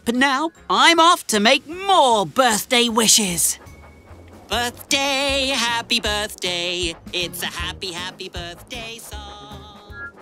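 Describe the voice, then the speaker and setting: A woman voicing a cheerful, eager young boy tank engine in a children's cartoon, with a bubbly, expressive manner. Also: on the high side, slow pace, music in the background. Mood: musing. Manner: bubbly, wide-eyed, expressive.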